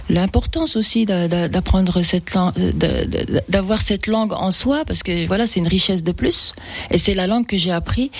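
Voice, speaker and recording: A woman's voice: lowish voice, no room sound. A woman singer, a native Fula speaker, talking in a casual interview and often restarting her words. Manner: hesitant, many restarts and repeated words. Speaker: singer guest.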